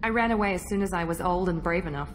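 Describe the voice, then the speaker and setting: An actress playing a guarded, proud engineered operative in a video game, speaking in a measured, self-assured way over background music. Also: female voice, fairly low pitch, slight background noise, lightly acted, rough recording. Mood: guarded, reflective. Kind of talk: video game dialogue acting.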